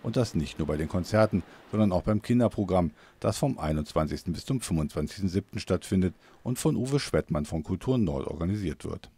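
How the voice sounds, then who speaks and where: Very deep, clear male news narrator. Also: expressive, a little fast, few pauses, dark tone.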